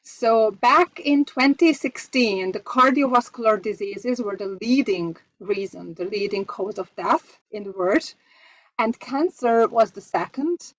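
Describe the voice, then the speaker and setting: A woman's voice: a little high, unhurried, poor audio. A woman from Hungary with a Hungarian accent, giving an earnest online masterclass presentation from slides and putting questions to her audience. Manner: earnest, asks the audience questions, restarts phrases.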